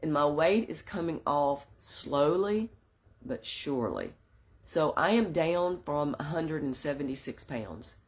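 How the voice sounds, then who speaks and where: A woman's voice: deep voice, lively intonation, unhurried. A woman hosting a women's wellness group in a casual live-stream chat. She has a slightly stuffy nose and a mild sore throat, and she talks earnestly while laughing at herself now and then. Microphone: phone or webcam for a live stream.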